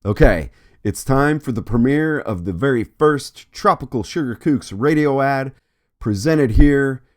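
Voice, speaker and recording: A man's voice: mid-range voice, lively intonation, a little slow. A middle-aged man hosting an art vlog with scripted narration. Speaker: host, artist.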